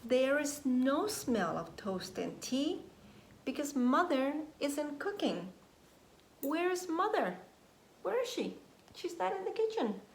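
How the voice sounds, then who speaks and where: A woman nursery teacher reading a story aloud to young children in an expressive, deliberate way, prompting them with questions. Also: high voice. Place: indoors at home. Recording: roomy echo, faint background noise, home recording.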